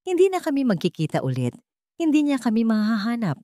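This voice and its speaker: Woman acting a frightened, pleading young Filipino mother, raised pitch. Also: wildly swooping pitch, quick pace, mellow, dry recording, studio quality. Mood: tense reassurance. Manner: pleading, anxious. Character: frightened, apologetic young mother guarding her secret.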